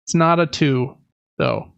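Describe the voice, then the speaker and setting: Higher-voiced man with a creaky edge. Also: wildly swooping pitch, slow pace, no echo, lo-fi sound. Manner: enthusiastic, informal recommending. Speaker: podcast co-host. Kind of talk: casual video game podcast chat.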